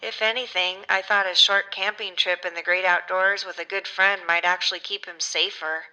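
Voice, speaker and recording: A middle-aged actress playing a grieving mother of a missing son, halting and emotional, in a naturalistic audio drama interview. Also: on the low side, fairly even intonation, pushed and bright, clear, resonant tone, dull audio. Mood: weary, regretful.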